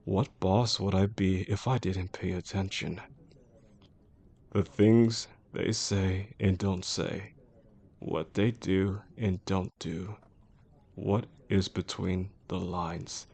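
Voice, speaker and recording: A man playing a possessive, menacing boss in a romantic audio roleplay, speaking in a controlled, formal way with a gravelly voice. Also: deep voice, fairly even intonation, darkish tone, heavily acted. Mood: quietly predatory. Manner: controlled, deliberate, formal wording. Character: possessive, menacing male boss obsessed with an employee.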